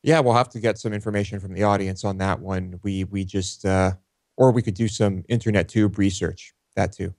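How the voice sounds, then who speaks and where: A man's voice: deep voice, fairly even intonation, moderate pace, gravelly, a little mellow, dry recording, studio quality. A man co-hosting a talk show, chatting casually and hesitantly. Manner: hesitant, many fillers and restarts. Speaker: co-host. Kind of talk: casual chat.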